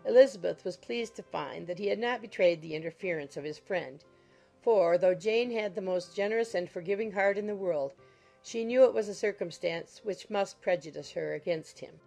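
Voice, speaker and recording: A woman's voice: mid-range voice, normal speed, vocal fry, mellow. A woman reading scripted narration of a classic novel as an audiobook narrator. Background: music.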